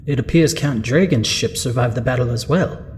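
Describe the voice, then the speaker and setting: A deferential villain's henchman, played by a man, mid-pitched and dutiful. A faint rumble sits under his audio drama report. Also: moderate pace, darkish tone, close to an everyday voice.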